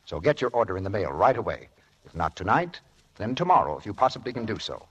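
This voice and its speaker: A man announcing a sponsor offer in emphatic, deliberate, salesmanlike 1940s radio style. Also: fairly low pitch, big pitch swings, fairly bright tone, slightly rough, highs cut off. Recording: vintage radio broadcast.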